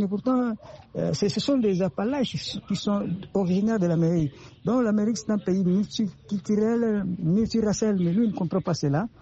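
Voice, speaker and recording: High-voiced man with mellow tone. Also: dull audio. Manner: earnest, repeats and restarts phrases. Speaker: radio panelist. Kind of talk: opinion on a radio program.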